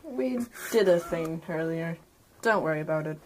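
A woman's voice: sits low, lively intonation, normal speed, a little mellow, slight background noise. A woman chatting casually and jokingly as a player in a tabletop game.

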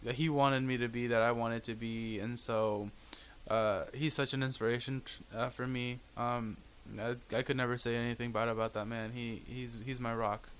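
A young man, a girls' soccer coach whose first language is Spanish, speaking as an interview guest in an earnest, halting way and repeating words. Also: mid-range voice, level tone, fairly bright tone, slight background noise.

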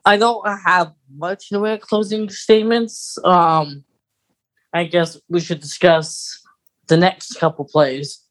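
A man co-hosting a podcast in casual chat, measured and thinking aloud as he goes. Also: sits high, fairly even intonation, fairly bright tone, rough recording. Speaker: podcast co-host.

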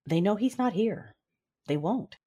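A woman playing a conflicted, commanding Sith noblewoman in an audio drama, measured and controlled, with dramatic delivery. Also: mid-range voice, animated, mellow, slightly rough. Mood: firm, commanding. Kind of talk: first-person audio drama narration and dialogue. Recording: music behind the voice.

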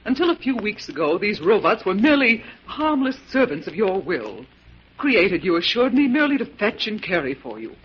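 A woman's voice: mid-range voice, sing-song, moderate pace, husky. A woman playing a frightened but defiant young assistant, earnest and urgent, in 1940s radio melodrama style. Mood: anxious, pleading. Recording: dull, noisy 1940s radio recording.

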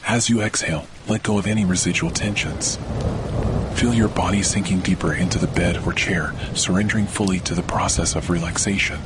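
A man with a very low voice leads a sleep meditation, his words slow, soft and soothing. Faint rain patters behind him throughout. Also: background noise. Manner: slow, soothing, hushed delivery. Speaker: meditation guide. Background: faint rain sounds.

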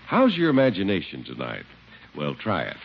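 A man's voice: deep voice, wildly swooping pitch, moderate pace, vocal fry. A man announcing in 1950s radio style, reading scripted advertising and narration with emphatic salesman phrasing. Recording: muffled 1950s radio recording, faint noise.

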